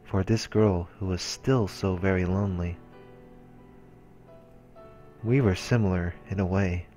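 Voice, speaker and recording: A man's voice: deep voice, steady tone, a little slow, warm tone. A man reading visual novel text aloud as a let's play narrator, in a dramatic storytelling style. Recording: background music behind the voice.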